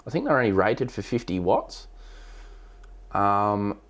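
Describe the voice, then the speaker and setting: A man with an Australian accent and a low-pitched voice talks casually through technical questions. He explains as host of a live stream, often hesitating and thinking aloud before he carries on. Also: animated, measured pace, a bit bright. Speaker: live stream host, amateur radio operator.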